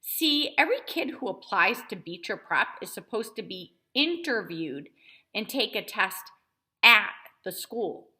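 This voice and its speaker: A woman reads a children's novel aloud. Her voice is bright and crisp-edged, with very lively, wide-moving intonation as she narrates from a child's point of view. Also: medium pitch, unhurried. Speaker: storybook reader narrating as a child.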